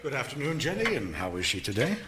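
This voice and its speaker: Pompous husband, widely swinging pitch. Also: male voice, huge pitch swings. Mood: genial. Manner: pompous, brisk, theatrical. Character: condescending, self-assured husband, genial but patronizing. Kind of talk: period radio theater acting. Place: theater stage, live performance. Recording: noisy live theater stage recording.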